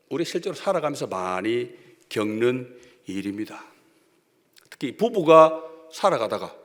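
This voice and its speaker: A male pastor with a Gyeongsang accent giving an emphatic sermon, swinging between loud declarations and hushed asides. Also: fairly high pitch. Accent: Gyeongsang Korean. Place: church sanctuary. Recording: long church hall reverb.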